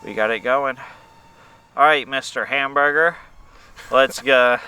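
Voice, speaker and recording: A man's voice: medium pitch, a little slow, bright, forceful tone, clean tone, rough recording. A man hosting a casual outdoor interview while flying a drone, upbeat and playful with jokes. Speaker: host. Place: outdoors at a park playground.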